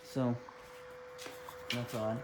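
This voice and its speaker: Male hobbyist, off-the-cuff and puzzled, muttering through a demonstration in a room with clear radio noise. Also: medium pitch, flat delivery, drawn-out words. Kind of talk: casual, unscripted demonstration commentary. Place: small indoor room at a workbench.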